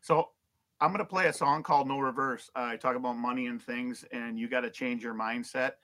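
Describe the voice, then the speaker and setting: A man from Minnesota with a Minnesota accent, a musician guest talking in a relaxed, plain-spoken way. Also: medium pitch, brisk. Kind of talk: casual interview chat.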